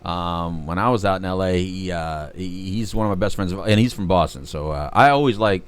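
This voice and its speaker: A man's voice: low-pitched, a little fast, clear, resonant tone. A man co-hosting a live talk show, chatting loosely, joking and losing his thread while hunting for words. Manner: rambling, joking, trails off searching for words. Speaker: podcast co-host. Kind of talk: casual talk show chat.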